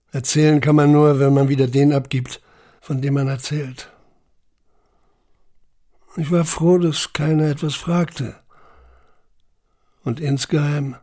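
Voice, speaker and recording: A man playing a haunted young deportee, recounting camp life in a deliberate, introspective radio drama monologue. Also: fairly high pitch, a little mellow.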